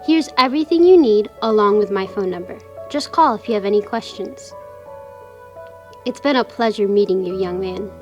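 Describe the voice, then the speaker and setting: A woman playing a kind, professional lawyer in a children's puppet show, explaining things gently to a child. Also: medium pitch, normal speed, highs cut off, faint music behind, lightly acted. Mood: gracious.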